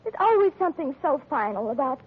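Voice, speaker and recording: A sentimental, devoted young secretary in a 1940s radio play, her voice very high and gushing. The broadcast sounds dull and muffled, with faint hiss behind her. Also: very animated, quick pace. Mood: tender.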